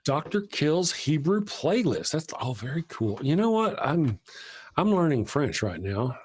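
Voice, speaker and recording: A man's voice: a little high, very animated, a little slow, husky. A man hosting a live stream, chatting casually and rambling in a self-deprecating way. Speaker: host of a live show.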